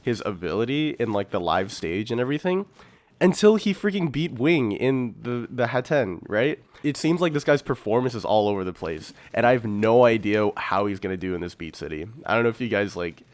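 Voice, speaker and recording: Casual podcast co-host, young male, lowish pitch, informal slangy storyteller, very dry recording. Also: animated, fairly quick, dry recording. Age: young adult. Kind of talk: casual chat.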